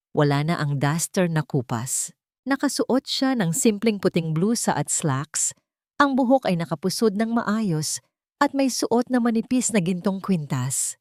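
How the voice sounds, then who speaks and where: A woman's voice: low voice, a little mellow, no echo, studio quality. A woman with a Filipino accent narrating a scripted love story in a dramatic style.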